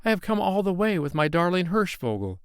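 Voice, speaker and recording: A man voicing an earnest small boy who pleads in a high, trembling voice. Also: sing-song, fairly quick, clear, resonant tone, dry recording, clean studio sound. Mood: pleading.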